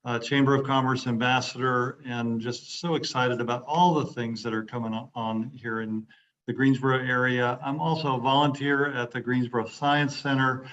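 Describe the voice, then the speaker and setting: A man giving casual remarks as a chamber ambassador on a video call, halting, with many fillers and restarts. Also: mid-range voice, flat delivery, fairly bright tone. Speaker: real estate broker and chamber ambassador. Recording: dull lo-fi call audio with faint noise.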